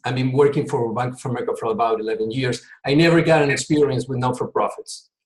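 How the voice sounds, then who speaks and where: A man's voice: medium pitch, fast. Earnest man on a video call. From Peru. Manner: earnest, repeats words when emphasizing. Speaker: volunteer consultant. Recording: echoey room.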